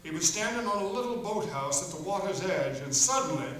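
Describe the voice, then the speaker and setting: A man's voice: high voice, animated, brisk, fairly bright tone. An actor playing a folksy children's author who narrates his story to kids on stage with playful, mock-serious asides. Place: live stage performance in a hall. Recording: echoey hall from far off, faint noise.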